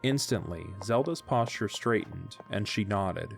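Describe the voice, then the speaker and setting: A man's voice: lowish voice, lively intonation, unhurried, gravelly, soft background music. A man narrating a scripted story as the narrator of an audio drama.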